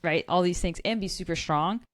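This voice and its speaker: Casual young woman, low-pitched, dry sound. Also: a little fast, no echo. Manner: casual, quotes people in voices, says like often. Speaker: podcast co-host. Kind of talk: casual chat.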